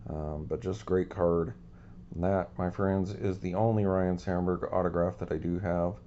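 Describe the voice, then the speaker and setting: A man's voice: low voice, fairly even intonation, normal speed, dark tone, highs cut off. A man hosting a casual show-and-tell of his cards, easygoing and rambling with lots of ums, his voice a little scratchy today. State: slightly scratchy voice. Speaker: card collecting channel host.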